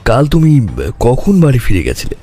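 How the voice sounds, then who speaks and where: A calm, probing detective acted by a man in an audio drama. His mid-pitched voice moves with expressive inflection as he questions and reasons deliberately, with music behind him. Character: calm, probing male detective, sharply observant.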